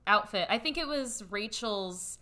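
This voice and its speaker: A woman's voice: medium pitch, expressive, unhurried, sharp tone. A young woman co-hosting a podcast, bubbly and upbeat, talking casually with lots of "like". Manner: bubbly, upbeat, says "like" a lot. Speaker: podcast co-host. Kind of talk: casual chat.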